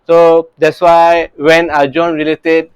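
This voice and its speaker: A man's voice: a little high, flat delivery, takes it slowly, clear, resonant tone, no echo, lo-fi sound. A man in his thirties from Singapore, a real estate coach talking earnestly about his early career, often restarting his sentences. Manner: earnest, many restarts and "you know, right?" tags.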